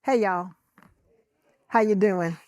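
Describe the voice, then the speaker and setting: A woman's voice: medium pitch, slow pace, a little mellow, no room sound, clean studio sound. A woman poet laureate casually greeting the audience before reading her poems.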